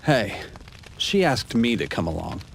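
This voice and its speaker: An actor playing a brash, sceptical young travelling companion in a video game, complaining in a casual, sarcastic way. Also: male voice, medium pitch, very animated, unhurried, creaky. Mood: sarcastic, whiny. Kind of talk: video game cutscene acting. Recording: noisy game audio with background ambience.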